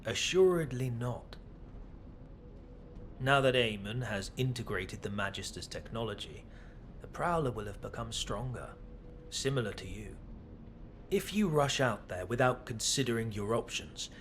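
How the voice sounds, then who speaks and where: A man playing a composed, calculating scientist official in a video game, speaking in a measured, formal, condescending way. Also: medium pitch, animated, slight background noise, natural voice. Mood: condescending, persuasive. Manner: measured, formal, breathy in places. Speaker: voice actor. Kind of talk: dramatic game dialogue.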